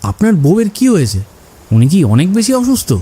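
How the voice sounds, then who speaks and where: A man's voice: high-pitched, huge pitch swings, a little fast, warm tone, a little hiss or hum. A man playing a cautious, protective village husband in a horror cartoon. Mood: uneasy. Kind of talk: Bengali horror cartoon dubbing.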